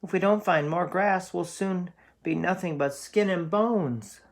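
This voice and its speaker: A man's voice: high-pitched, a little slow, voice pushed up. A man voicing a nervous, fretful middle brother goat in a children's storybook, with anxious, pleading delivery. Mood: worried, anxious.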